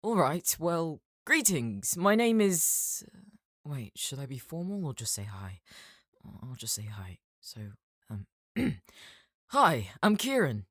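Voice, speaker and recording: A narrator putting on an excitable, stammering elf healer. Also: mid-range voice, slow, no echo, clean studio sound, voice pushed up, full character voice. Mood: nervous, friendly. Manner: stammering, exclaiming, awkward. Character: excitable, nervous elf healer man, friendly game guide. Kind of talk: audiobook character acting in a fantasy story.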